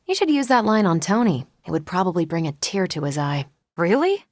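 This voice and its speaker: A woman's voice: fairly low pitch, big pitch swings, fairly quick, no echo, studio quality. A woman playing a self-assured, wry superheroine and space pilot with an American accent, in conversational video game lines. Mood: wry teasing.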